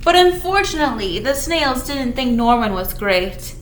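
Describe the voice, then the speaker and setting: A woman's voice: high-pitched, sing-song, moderate pace. A woman leading storytime for young children, reading aloud in a playful, exaggerated way with chatty asides to her little listeners. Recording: roomy echo with background noise.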